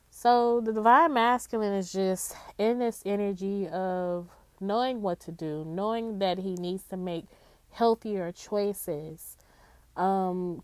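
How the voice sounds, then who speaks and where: Nasal, congested woman reading cards slowly. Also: lowish voice, darkish tone, clear, resonant tone, no echo. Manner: slow and drawn-out, with frequent "okay?" check-ins. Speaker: psychic tarot reader. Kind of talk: casual spiritual card reading.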